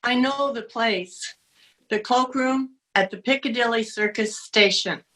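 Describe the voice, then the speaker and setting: A woman's voice: a little high, a little slow, bright, forceful tone, low-quality recording. A woman in a radio play voicing an eager, carefree young boy, a little brother sent on errands. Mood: eager, reluctant.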